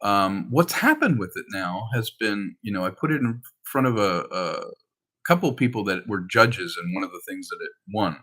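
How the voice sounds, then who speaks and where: A man's voice: fairly low pitch, animated, normal speed, a bit bright. A man talking casually and in a rambling, anecdotal way about his work as a filmmaker. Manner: rambling, anecdotal, acts out quoted dialogue. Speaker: film director and screenwriter. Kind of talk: casual conversational update about projects.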